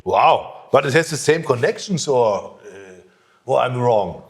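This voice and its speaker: A middle-aged man hosting a mock teleshopping pitch with theatrical, emphatic amazement. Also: expressive, measured pace, very noisy. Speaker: store host and salesman. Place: hi-fi showroom.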